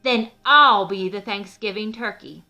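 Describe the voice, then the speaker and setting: Woman playing a cocky male storybook turkey, bragging, emphatic, bright tone, faint music. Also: medium pitch, unhurried, lo-fi sound. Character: boastful, cocky male turkey who wants to win.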